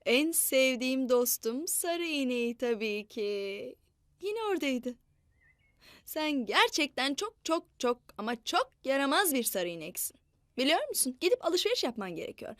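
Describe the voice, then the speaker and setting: A woman telling a story to young children in a playful, expressive, repetitive way. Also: high-pitched, no room sound, studio quality. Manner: slow, repetitive, expressive delivery with animal mooing.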